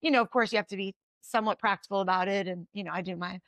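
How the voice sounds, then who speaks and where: A woman in her thirties from Connecticut with a New England accent and a raspy voice, talking quickly as a guest in a casual interview. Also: medium pitch, fairly even intonation, a bit bright, no echo. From Connecticut, USA. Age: 30s. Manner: fast-talking, says "like" often. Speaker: podcast guest.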